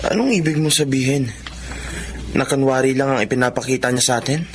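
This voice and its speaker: A young man with a Filipino accent playing a gentle, fair-minded older brother in a radio drama. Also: mid-range voice, fairly even intonation, fairly quick, a little hiss or hum, natural voice. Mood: calm, reproving. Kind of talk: Tagalog radio drama acting.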